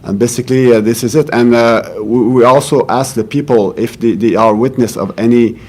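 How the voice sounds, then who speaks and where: A man with a French accent and a medium-pitched voice gives a police briefing in English. He speaks haltingly, repeating syllables as he searches for words. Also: steady tone, a little mellow. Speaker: police chief inspector giving a press briefing. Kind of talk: press conference answers.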